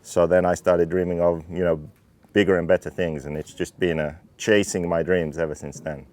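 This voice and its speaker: A man in his thirties from Finland, a racing team owner calmly explaining his car in technical detail. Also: deep voice, moderate pace. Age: 30s. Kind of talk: interview talk about his car.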